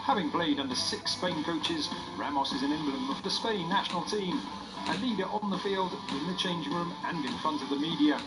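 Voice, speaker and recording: A man's voice: a little high, brisk, poor audio. A man reading scripted sports news narration as a reporter. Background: music bed.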